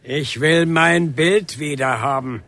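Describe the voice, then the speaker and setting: A man acting in a radio drama as an aggrieved older painter, husky, deliberate and insistent, with grunting hesitations. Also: on the high side, sharp tone, full character voice. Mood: dry, insistent. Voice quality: husky, rough. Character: aggrieved, defiant older painter confronting a policeman.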